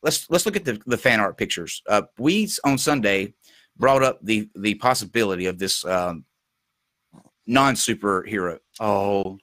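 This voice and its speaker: A man hosting a casual talk show, losing his voice and talking haltingly with many fillers. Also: on the low side, lively intonation, a bit bright, no echo. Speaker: show host.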